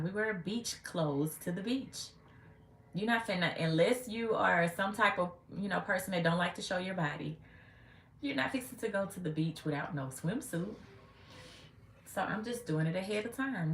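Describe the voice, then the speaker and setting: A woman's voice: mid-range voice, animated, normal speed, slight background noise. A woman in her late thirties hosting a casual livestream, speaking sassily and talking back to her viewers' comments. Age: 30s. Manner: sassy, talks back to commenters. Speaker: livestream host. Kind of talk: casual live chat with viewers. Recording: echoey room with faint ambience.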